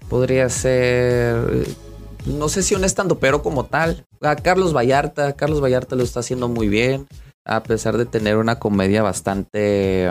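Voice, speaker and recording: A man with a northern Mexican accent chatting casually as an interview guest, a comedian who often hesitates and searches for words. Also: medium pitch. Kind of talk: casual chat.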